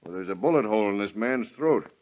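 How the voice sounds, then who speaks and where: An actor playing a shrewd, skeptical lawman, deliberate and matter-of-fact, in 1930s radio drama style. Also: fairly low pitch, animated, lightly acted. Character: shrewd, skeptical county lawman investigating a killing. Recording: muffled, noisy vintage radio recording.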